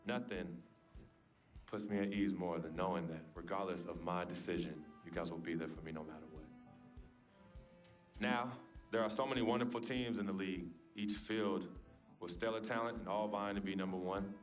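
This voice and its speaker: An actor playing an earnest, grateful young basketball star giving a measured, formal speech in a video game cutscene. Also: male voice, on the low side, natural voice. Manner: measured, formal, deliberate public speaking.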